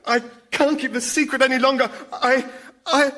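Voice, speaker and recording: An actor playing a needy, nervous demon underling in a radio sitcom, stammering, flustered and fawning. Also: male voice, very high voice, steady tone, normal speed, sharp tone. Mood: flustered denial.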